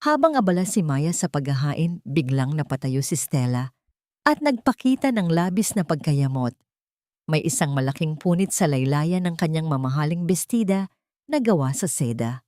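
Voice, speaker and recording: A woman's voice: deep voice, sing-song, normal speed, a little mellow, no room sound, clean studio sound. A woman narrating a dramatic scripted audio story as a storyteller.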